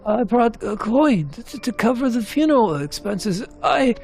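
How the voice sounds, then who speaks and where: A man voicing a remorseful, anxious character in a game story, pleading and halting. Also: very high voice, sing-song, creaky, darkish tone, faint music behind, pitched above the performer's own voice, heavily acted. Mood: guilty, subdued. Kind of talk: narrated game cutscene character.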